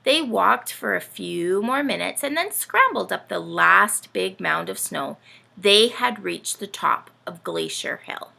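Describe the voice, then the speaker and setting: A woman presents a storytime for young children in a bright, very creaky voice. She talks playfully and puts lots of questions to her listeners. Her delivery is unhurried, with big swoops in pitch. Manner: animated, addresses children with frequent questions. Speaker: children's read-aloud host with a puppet sidekick.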